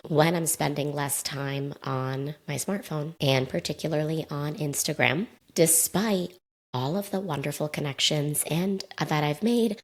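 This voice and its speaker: A woman in her thirties speaks in a low register with a slight rasp, a little hoarse from a sore throat. She talks as a podcast host on her own, in a candid, apologetic and conversational way. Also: a little slow. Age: 30s. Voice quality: slightly raspy. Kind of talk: casual solo podcast monologue.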